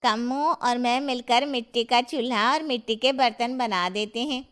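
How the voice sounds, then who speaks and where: A woman's voice: a little high, moderate pace, fairly bright tone, clear, resonant tone, close to an everyday voice. A woman playing an anxious, outspoken village woman in a cartoon moral story, plainspoken and questioning. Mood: worried. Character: anxious, outspoken village women of one family.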